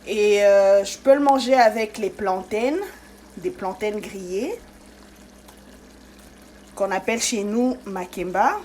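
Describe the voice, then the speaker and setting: A woman's voice: medium pitch, slow, long pauses, darkish tone, slight background noise. Chatty woman cooking, faint sizzle. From Congo-Kinshasa. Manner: chatty, step-by-step, many fillers. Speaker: cooking channel host. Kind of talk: casual cooking tutorial.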